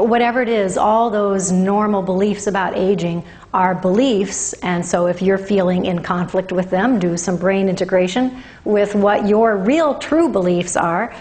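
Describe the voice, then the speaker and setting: A woman in her fifties giving an informal lecture to a class, measured and explanatory with deliberate pauses. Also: medium pitch, darkish tone. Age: 50s. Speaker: workshop teacher, holistic health practitioner.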